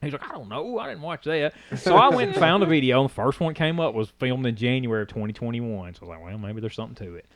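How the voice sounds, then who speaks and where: A man's voice: mid-range voice, animated, fast, hardly any pauses, dry recording. A man, chatting on a podcast as a co-host and telling a rambling story. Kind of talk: casual chat.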